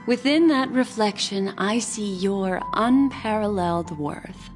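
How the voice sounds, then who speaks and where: A mysterious, alluring woman speaks very slowly and softly in musing, seductive tones. Clear music plays under her video game lines. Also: medium pitch, expressive. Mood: philosophical. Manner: slow, soft, seductive and philosophical. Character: mysterious alluring woman, memory manipulator, enigmatic. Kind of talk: game voice acting.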